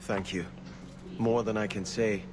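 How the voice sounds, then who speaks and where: An actor voices a grave noble prince for a fantasy game. The male voice is low, formal and earnest, with clear noise behind it. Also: steady tone, unhurried, natural voice. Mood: grateful, grave. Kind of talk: fantasy video game acting. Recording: noisy game capture.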